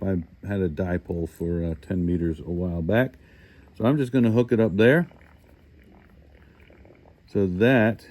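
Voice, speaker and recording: A man's voice: on the low side, a little mellow. A man hosting a casual hands-on product walkthrough, talking informally and thinking aloud as he goes. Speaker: host of a hobby radio review channel.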